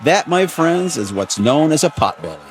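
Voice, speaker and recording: A man's voice: fairly high pitch, big pitch swings, moderate pace, slight background noise. A man acting in a radio drama as a breezy, wisecracking crewman with a casual, colloquial way of talking. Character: breezy, wisecracking male junior crewman, slightly uneasy.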